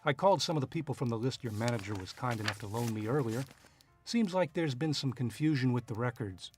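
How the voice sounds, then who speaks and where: A man's voice: mid-range voice, lively intonation, fast talker, over music, lightly acted. A man playing a shrewd, cynical private investigator in a radio drama, both narrating and questioning in a noir style. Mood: insinuating. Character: shrewd hard-boiled male private investigator, cynical and probing.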